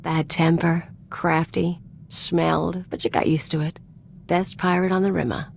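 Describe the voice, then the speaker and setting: A woman's voice: on the low side, little pitch movement, measured pace, gravelly, slight background noise. An actress voicing a cynical, streetwise mercenary woman in a video game, flippant and taunting in clipped, slangy phrases. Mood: sly, flippant. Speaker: companion character in a video game. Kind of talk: video game voice acting, casual dialogue. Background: music.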